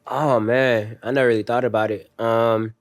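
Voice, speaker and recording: A man's voice: mid-range voice, flat delivery, normal speed. A young man telling stories on a podcast in a laid-back, slangy way, with many fillers. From raised overseas in a military family. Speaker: podcast guest. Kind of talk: casual podcast conversation.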